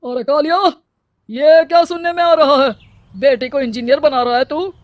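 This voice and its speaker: A woman's voice: high voice, moderate pace, no room sound, voice pushed up, close to an everyday voice. A woman voicing a domineering, scheming male village headman in a cartoon story, bossy and scolding. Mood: mocking.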